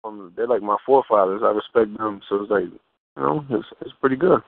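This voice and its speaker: A man's voice: lowish voice, a little fast, gravelly, no room sound. A man giving a casual interview as a guest over the phone, talking informally with slang and rephrasing himself as he goes. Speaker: interview guest, fashion model. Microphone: telephone. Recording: muffled narrow phone line.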